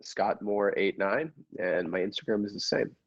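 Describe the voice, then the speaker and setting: Low-voiced halting man, dry sound. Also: breathy edge, dry recording, lo-fi sound. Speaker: podcast cast member. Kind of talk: improv comedy, casual delivery.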